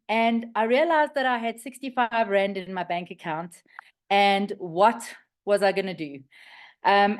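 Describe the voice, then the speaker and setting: A South African woman in her fifties speaks in a fairly high but raspy voice, as if getting over flu. She shares a personal testimonial with a group. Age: 50s. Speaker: community member giving a testimonial.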